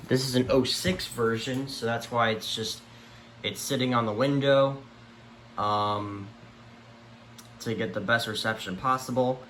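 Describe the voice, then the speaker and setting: Halting man over clear background noise. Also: mid-range voice, steady tone, a bit bright, reverberant, poor audio. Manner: halting, unscripted, trailing off. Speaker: collector presenting a home video tour. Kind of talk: casual show-and-tell vlog. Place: room at home among many radios. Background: weather radio broadcast playing.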